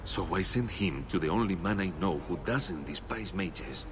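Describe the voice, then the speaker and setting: Deep, guarded city informant. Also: male voice, expressive, moderate pace, background noise. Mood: guarded, confiding. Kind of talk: scripted video game dialogue.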